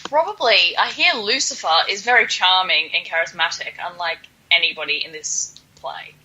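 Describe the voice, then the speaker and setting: A woman's voice: on the low side, normal speed, sharp tone, slightly rough, low-quality recording. A woman co-hosting a podcast in casual comedic chat, with a playful, mock-dramatic sarcasm. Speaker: podcast co-host.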